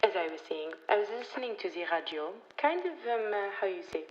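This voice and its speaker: A woman playing a rustic vineyard owner giving a halting, folksy eyewitness account in a radio-style stage play. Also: mid-range voice, expressive, slight background noise, lightly acted. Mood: rambling, puzzled. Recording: dull, echoey stage recording.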